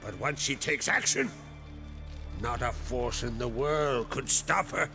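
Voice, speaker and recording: Casting: a stern, fatherly game chieftain, proud and middle-aged, very expressive pitch, creaky gravel in the voice. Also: slow. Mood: reflective, proud. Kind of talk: dramatic video game acting. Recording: music behind the voice.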